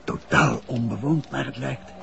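A man acting a calm, resolute detective husband in a 1960s Dutch radio drama. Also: mid-range voice, a little slow, vocal fry, heavily acted. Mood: calm, watchful. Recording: noisy old 1960s radio recording.

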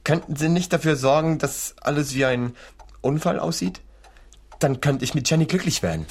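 Meek lovesick loser in a radio drama, kinda husky, bitter about his lot. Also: on the high side, lively intonation, moderate pace. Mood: pleading, wistful. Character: lovesick resentful man, meek, living in his brother's shadow. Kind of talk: radio play acting.

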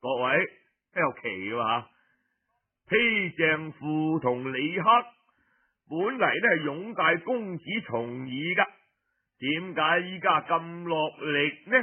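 A man with a Cantonese accent telling a story in an expressive, colloquial way, addressing his listeners directly. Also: fairly high pitch, narrow, tinny audio. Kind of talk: dramatized traditional oral storytelling narration.

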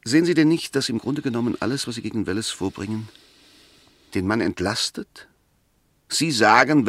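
An insistent, argumentative male advocate in a mid-century radio play. He has a middle-pitched voice and drives each point home with rhetorical emphasis. The sound is dry and close. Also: no echo. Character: insistent male advocate arguing a murder suspect's innocence. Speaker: radio actor. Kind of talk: 1960s German radio play dialogue.

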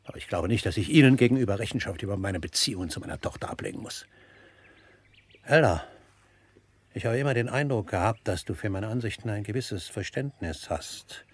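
An actor playing a stern, disapproving father over a hundred years old in a radio drama, speaking formally and coldly in a husky voice. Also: lowish voice, expressive. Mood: reproachful. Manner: formal, cold, measured and reproachful. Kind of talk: German radio drama acting.

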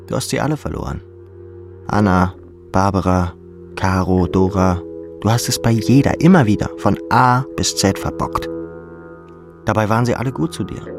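Male audiobook narrator, gravelly and deep, scripted literary drama with music underneath.

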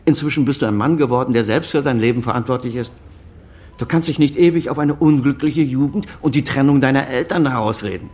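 A man's voice: medium pitch, fast talker, darkish tone, lightly acted. A male actor plays an estranged father and senior doctor past fifty in a radio play. He speaks with formal, articulate phrasing. He is proud and always defending himself. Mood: defensive, earnest. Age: middle-aged or older, over fifty. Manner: formal, articulate, self-justifying. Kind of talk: German radio drama dialogue.

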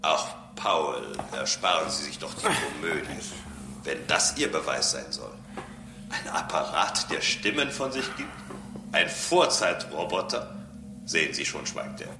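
An actor in a radio drama playing a calm, condescending robot who preaches solemnly and pedantically about serving a higher master. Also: male voice, a little slow, background noise, full character voice. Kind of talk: German radio drama acting. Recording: roomy echo, music bed behind.

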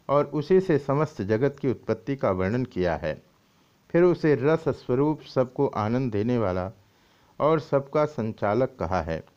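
The recording is dull and lo-fi. A man with a mid-pitched voice reads scripture and its explanation aloud. His delivery is scripted and formal, with deliberate phrasing suited to a religious teacher. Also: darkish tone. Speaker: swami reading and explaining scripture. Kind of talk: scripted scripture reading with commentary. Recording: dull, lo-fi audio.

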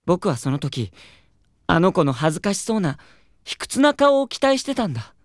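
A man's voice: high voice, sing-song, normal speed, dry recording, studio quality. A man playing a proud, hot-headed young idol singer in an audio drama, earnest and theatrical, also narrating his own story. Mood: reflective narration. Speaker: lead character and narrator. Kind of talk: anime audio drama acting with first-person narration.

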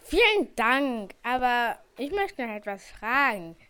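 A woman's voice: fairly high pitch, big pitch swings, slow, noisy background. A female performer playing a curious young birthday boy in an amateur school radio play.

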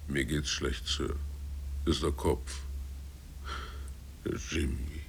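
Sneering sailor bully, deep, raspy, slow, taunting, radio drama. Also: male voice, steady tone, a little hiss or hum, full character voice. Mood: low, shaken. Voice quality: hoarse, gravelly. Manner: jeering, taunting, drawn-out mock sweetness. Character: spiteful, goading male sailor, sneering bully.

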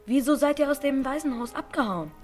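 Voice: high voice, animated, fairly quick, darkish tone. An actor in a children's radio play voicing a tough street kid, matter-of-fact, wry and teasing. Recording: studio radio play with faint music.

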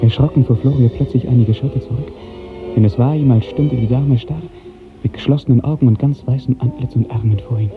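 A man narrating a literary 1950s German radio drama in a dramatic, declamatory style with measured diction. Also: medium pitch, fairly even intonation. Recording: 1950s radio recording, thin narrow band, music.

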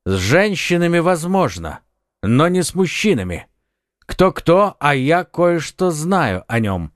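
A man's voice: a little high, big pitch swings, slow, dry recording, pitched above the performer's own voice. Man doing hot-headed young swordsman, impassioned, exclaiming, dry close recording, audiobook. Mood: bold.

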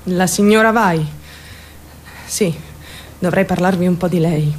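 A woman's voice: on the low side, measured pace, a little hiss or hum, natural voice. An actress playing a troubled, defiant teenage girl who confides her story straight to the listener in a theatrical radio monologue. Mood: resentful, confiding. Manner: confiding, addressing listeners directly, mimicking other voices.

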